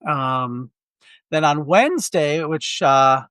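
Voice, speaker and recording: A man's voice: fairly high pitch, lively intonation, takes it slowly, fairly bright tone, smooth, no room sound. A man co-hosting a film podcast, chatting candidly in a rambling way with many restarts. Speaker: podcast co-host. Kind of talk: casual film chat. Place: Quad City area.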